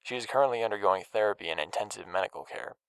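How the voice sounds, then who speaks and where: A man's voice: on the low side, racing, smooth, no echo, natural voice, poor audio. A man voicing a television news reporter, delivering a formal broadcast bulletin.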